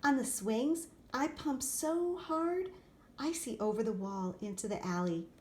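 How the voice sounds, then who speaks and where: A woman reading a children's picture book aloud expressively, in short, deliberate phrases. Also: fairly high pitch, expressive, a little mellow. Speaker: storybook reader. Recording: clear background noise, room ambience.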